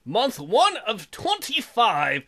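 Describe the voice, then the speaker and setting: A man's voice: very high-pitched, huge pitch swings, slow, a bit bright. A man hosting a let's play with casual, irreverent commentary, joking crudely and thinking aloud over game music.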